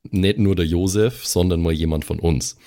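A man's voice: low voice, fairly even intonation, moderate pace, warm tone. A man co-hosting a podcast, chatting colloquially with friends in emphatic wording. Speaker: podcast co-host and player. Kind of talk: casual chat among friends.

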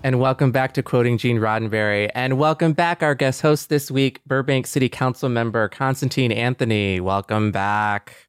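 A man's voice: mid-range voice, steady tone, moderate pace, hardly any pauses, clean tone, dry recording. A middle-aged man hosting a podcast in a conversational, agreeable and reflective way. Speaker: podcast host.

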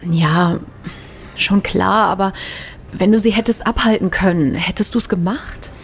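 A woman's voice: mid-range voice, a little slow, faint music behind, close to an everyday voice. Woman acting a worried, probing mission colleague. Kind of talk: naturalistic audio drama acting.

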